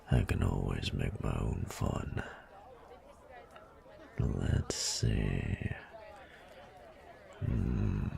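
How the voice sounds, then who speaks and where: Man acting a rumbling, gravelly, cocky bully. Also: heavily acted. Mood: smug, scheming. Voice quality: very deep, gravelly, breathy murmur. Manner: slow, drawling, taunting. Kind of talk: scripted audio roleplay monologue.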